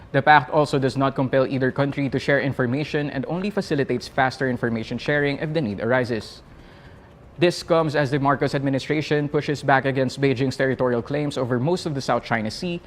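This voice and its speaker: A man with a Filipino accent presenting a scripted news roundup in a formal, measured way. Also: mid-range voice.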